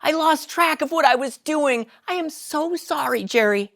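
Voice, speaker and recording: A woman's voice: high voice, animated, normal speed, gravelly, a bit bright, pitched above the performer's own voice, full character voice. A woman voicing a blunt, outspoken woman who runs out of patience. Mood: exasperated. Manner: blunt, exasperated.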